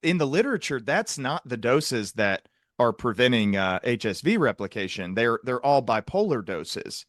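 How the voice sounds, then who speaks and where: A man hosting a podcast, talking through clinical topics in a halting, thoughtful, conversational way with technical vocabulary. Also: mid-range voice, big pitch swings, no echo, studio quality. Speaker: podcast host, psychiatrist.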